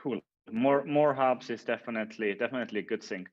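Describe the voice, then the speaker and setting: A man moderating a panel, putting questions to guests in a halting, informal way. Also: mid-range voice, dull audio. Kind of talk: casual questioning.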